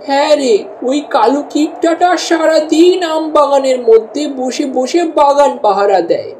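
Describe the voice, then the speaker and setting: Lady doing a stubborn little village kid, cartoon boy, high voice, bit of noise behind. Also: moderate pace, mellow, lightly acted, low-quality recording. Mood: grumbling.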